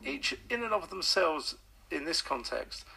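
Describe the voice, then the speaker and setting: A man's voice: a little high, big pitch swings, measured pace, creaky, bright, forceful tone, husky. A man, an author being interviewed on radio, speaking thoughtfully and hesitantly, often repeating words as he thinks.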